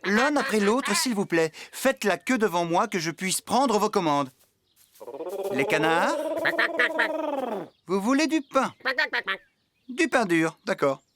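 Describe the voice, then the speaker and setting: Animated, good-natured cartoon farmhand. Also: male voice, high voice, slow, pauses often, background noise, full character voice. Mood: eager, flustered. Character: friendly, forgetful, eager-to-please farmhand man. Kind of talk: French children's cartoon dubbing. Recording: background music under the voice.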